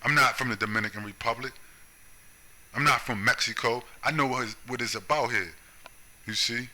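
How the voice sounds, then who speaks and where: A man from the United States speaks in a hard, piercing tone at a middle pitch. He rants bluntly and profanely in a solo monologue, driving each point home with a repeated "right?" or "you see?". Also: normal speed, pushed and bright. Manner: confrontational, profane, keeps tagging lines with "right?" and "you see?". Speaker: video commentator.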